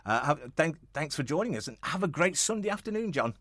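A man's voice: fairly high pitch, big pitch swings, fast talker, dry recording. Chatty male radio presenter, dry studio. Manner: chatty, enthusiastic, warm praise. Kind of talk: friendly radio interview.

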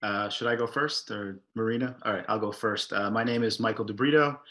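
A man speaking as an artist on an online panel, relaxed with frequent fillers, over a video call. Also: fairly low pitch, fairly quick. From United States. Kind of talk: casual panel talk.

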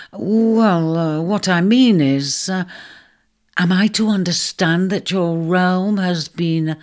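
A storyteller voicing a territorial male bull who booms at intruders but softens into a hesitant, kindly tone. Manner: booming, then halting and stammering. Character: gruff territorial male bull, grudgingly kind. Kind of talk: storybook character voice.

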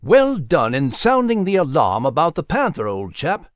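A man's voice: fairly high pitch, wildly swooping pitch, normal speed, no echo. A prim little mouse, as a man puts him on, formal and a touch fussy, his voice rising and falling a lot. The sound is dry. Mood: polite. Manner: formal, polite, slightly fussy. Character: small polite male mouse, fussy and proper.

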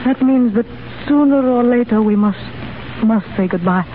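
A woman's voice: on the high side, normal speed, slight background noise, natural voice. An actress playing an earnest, guarded Russian woman torn between love and duty in 1930s radio drama, speaking formally and carefully. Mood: troubled, tender. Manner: formal, careful diction without contractions. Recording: tinny 1930s radio broadcast with music.

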